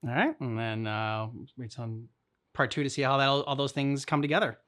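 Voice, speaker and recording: A man hosting a reaction show, chatting casually in rambling, halting trains of thought. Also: mid-range voice, steady tone. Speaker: reaction channel host.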